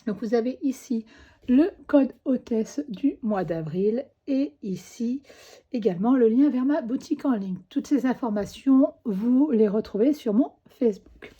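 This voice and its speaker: A woman with a cold talks through a crafting tutorial, slipping in little fillers as she works. Her voice is husky and slightly high. The recording is dull and lo-fi. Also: animated, measured pace, dark tone. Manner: chatty, fillers like "hop" and "euh" while working. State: has a cold, coughing. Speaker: crafting demonstrator.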